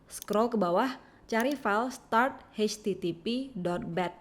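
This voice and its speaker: A woman with an Indonesian accent reading a scripted software tutorial, giving clear step-by-step instructions. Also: medium pitch, slow pace. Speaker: tutorial narrator.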